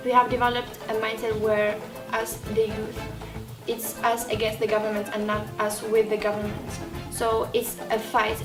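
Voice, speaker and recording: A young woman from Morocco stating an earnest opinion as a youth speaker. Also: on the high side. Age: young adult. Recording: echoey room, distant mic, music behind.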